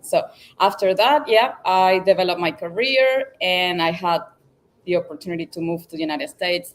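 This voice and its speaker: A woman from Venezuela, talking as a guest on a video call, halting with fillers and restarts. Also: fairly low pitch, a little hiss or hum. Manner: halting, with many fillers and restarts, laughs often. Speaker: guest engineer. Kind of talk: casual interview chat.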